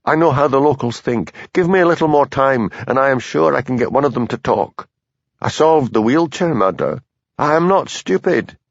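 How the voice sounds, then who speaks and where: A man voicing a nervous, earnest police constable with a Highland Scottish accent, in a dull lo-fi recording. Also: animated, normal speed, gravelly, no echo. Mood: insistent. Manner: earnest, pleading.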